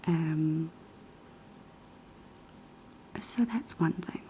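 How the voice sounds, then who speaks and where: A young woman with a New Zealand accent whispering unhurried ASMR chat in a kitchen, her voice raspy and hoarse after being sick. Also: sits low, slight background noise, poor audio. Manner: soft, unhurried whispering with many ums. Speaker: ASMR creator. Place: kitchen of a quiet flat.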